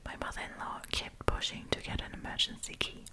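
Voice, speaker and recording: Whispering woman, chatty ASMR storyteller. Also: brisk. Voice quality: soft breathy whisper. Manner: chatty, gossipy, addresses listeners as friends. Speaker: host of a storytime channel.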